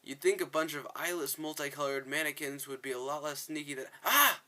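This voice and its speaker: A man acts out a wisecracking game superhero who is excitable and a bit of a bumbler. The voice is bright and forceful, loud and theatrical, with a flippant edge. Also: fairly high pitch, a little fast. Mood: startled, loud. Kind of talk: reading game story dialogue aloud.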